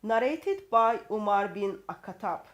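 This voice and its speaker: A woman from Turkey with a Turkish accent, speaking haltingly and searching for words as she explains religious texts in discussion. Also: medium pitch, animated, muffled recording. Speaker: co-host.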